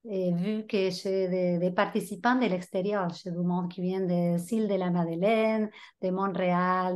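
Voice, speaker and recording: A woman's voice: mid-range voice, darkish tone. A woman from Argentina, a native Spanish speaker with an Argentinian accent, introducing her Spanish teaching in French on a video call, hesitant with many restarts.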